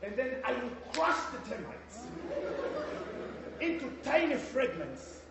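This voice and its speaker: A man playing a tyrannical school headmistress in a stage play, booming threats and sneering insults. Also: very animated, slow, vocal fry, exaggerated voice. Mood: threatening. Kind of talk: amateur stage play acting. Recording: echoey hall, mic far off, noisy.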